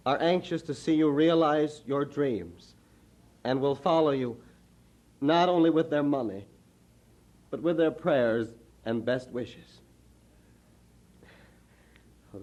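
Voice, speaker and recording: A man plays a modest gentleman of a church class in an old radio drama. He speaks very slowly at a middling pitch, with sincere, respectful formality. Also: muffled recording, natural voice. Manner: formal, measured. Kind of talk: mid-century radio drama acting.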